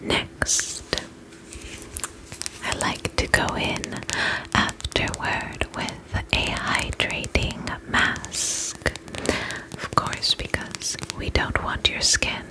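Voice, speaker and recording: Woman, breathy whisper, very slow pace, gentle and soothing, ASMR spa attendant role-play. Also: poor audio. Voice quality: unvoiced whisper. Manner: gentle, soothing, reassuring, with short pauses between phrases.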